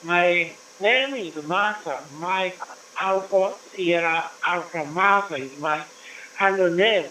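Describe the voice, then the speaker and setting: Man on ham radio, very slow formal procedure, higher pitch, distant echoey signal with faint hiss. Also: pushed and bright. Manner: formal, measured call procedure. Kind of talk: amateur radio contact.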